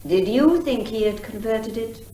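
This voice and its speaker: Woman as animated, insistent lady barrister. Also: fairly high pitch, measured pace, slight background noise. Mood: brisk, formal. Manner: formal, pointed, insistent questioning. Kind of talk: courtroom radio comedy drama. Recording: roomy, echoing sound.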